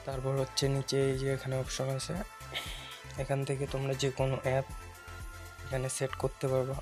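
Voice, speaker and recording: A man's voice: mid-range voice, completely flat delivery, a little fast, music in the background. A man hosting a casual how-to video, giving step-by-step instructions and often repeating his phrases. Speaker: tutorial video host. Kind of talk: casual screen-recorded phone tutorial narration.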